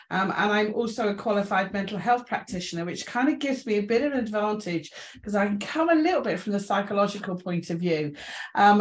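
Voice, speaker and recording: Middle-aged Englishwoman, invited speaker, informal and reassuring, mid-pitched voice. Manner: chatty, self-deprecating, reassuring. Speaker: invited speaker, inclusion trainer. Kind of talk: informal presentation to an audience.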